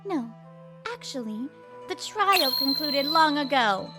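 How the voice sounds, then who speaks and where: A blunt, fierce woman from a manga video who tears into the man who wronged her, as a woman acts her out. Her voice is very high, with music playing clearly underneath. She scolds sharply and speaks softly when she confides. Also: expressive, slow pace. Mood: angry.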